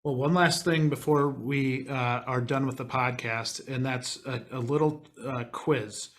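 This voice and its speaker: Laid-back host with a Wisconsin accent. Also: male voice, mid-range voice, steady tone. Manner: halting, many uh fillers, laid-back. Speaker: podcast host. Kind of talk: casual podcast chat.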